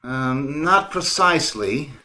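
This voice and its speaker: A man's voice: mid-range voice, animated, slow pace, bright, forceful tone, exaggerated voice. A man playing a bashful, awkward teacher with hesitant, stammering delivery in old-time radio comedy style over a video call. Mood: flustered, hesitant.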